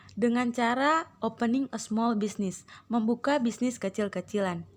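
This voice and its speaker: A woman teacher with an Indonesian accent, hoarse from a cold, reading English captions slowly and explaining them, clearing her throat often. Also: fairly high pitch. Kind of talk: online English lesson, reading then translating.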